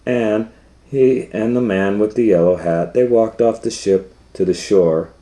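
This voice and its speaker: A man reading a children's story aloud as storytime host, pausing to explain things simply in a conversational way. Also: lowish voice, mellow.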